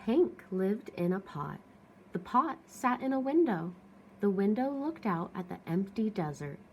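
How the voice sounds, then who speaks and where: A woman reading a children's storybook aloud as an expressive narrator. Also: mid-range voice, measured pace, slight background noise, rough recording.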